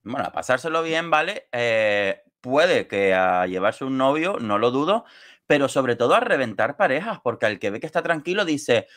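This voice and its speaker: A man's voice: mid-range voice, big pitch swings, moderate pace, fairly bright tone. A man, giving wordy, gossipy reality-show commentary in casual talk on a video call from home. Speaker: online show co-host and commentator.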